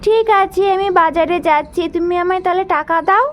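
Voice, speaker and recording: A woman's voice: very high voice, a little fast, slight background noise, voice pushed up. A woman voicing a naive, chatty young daughter in a children's doll story, with a plaintive manner. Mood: eager.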